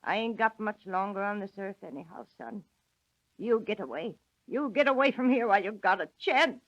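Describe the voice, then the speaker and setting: A woman's voice: medium pitch, moderate pace, a bit bright, dry recording, lightly acted. An actress playing a poor, grieving old mother in a vintage radio drama, plaintive and folksy in her speech. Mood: pleading. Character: weary, grieving, poor old country mother, self-sacrificing. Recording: muffled, dry old radio recording.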